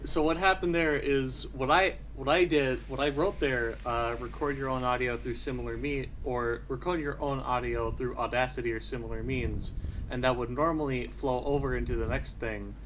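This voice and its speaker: A man's voice: mid-range voice, fairly even intonation, moderate pace, a bit bright, slight background noise, poor audio. A man hosting and editing a casual podcast, rambling and self-deprecating as he chats. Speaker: podcast host and editor. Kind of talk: casual chat.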